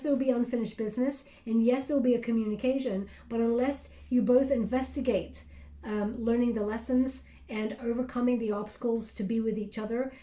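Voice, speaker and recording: A woman's voice: fairly high pitch, dark tone, roomy sound. Scratchy-voiced woman reading tarot cards. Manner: chatty, reassuring, tag questions like okay. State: scratchy voice from illness. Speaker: tarot reader. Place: indoors at a table.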